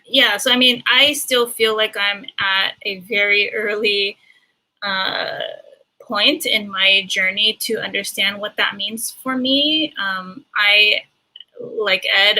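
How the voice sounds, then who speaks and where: A woman from Hawaii sharing personal reflections as a panelist, halting and thoughtful as she searches for words. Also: mid-range voice, pushed and bright, low-quality recording.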